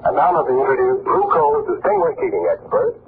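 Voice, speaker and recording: A man's voice: fairly high pitch, huge pitch swings, brisk, a little hiss or hum. A man reading formal scripted announcements as a 1940s radio announcer, on an old muffled recording.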